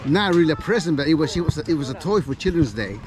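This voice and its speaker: A middle-aged man from Mexico City being interviewed outdoors in a park, speaking in a soft, hesitant way with frequent pauses. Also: on the high side, lively intonation. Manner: soft-spoken, hesitant, with many uh fillers. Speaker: event organizer, guest. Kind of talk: casual interview. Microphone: camera held at arm's length by host.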